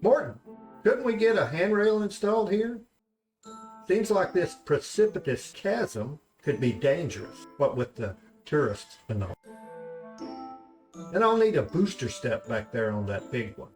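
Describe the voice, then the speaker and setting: A man voicing a weary, grumpy old high priest, pompous and grumbling, in a comic fantasy story. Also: big pitch swings, unhurried, darkish tone, soft background music. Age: older.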